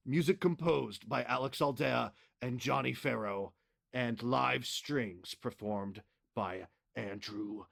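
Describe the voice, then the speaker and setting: A man's voice: medium pitch, vocal fry, a bit bright. A man announcing end credits in a scripted read for an audio drama.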